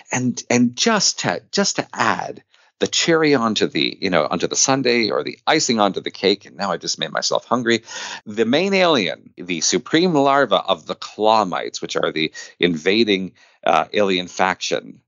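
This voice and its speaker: A man's voice: deep voice, a bit bright, no room sound, dull audio. A man speaking as an interview guest in an effusive, enthusiastic way, repeating phrases for emphasis. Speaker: podcast guest, filmmaker and actor.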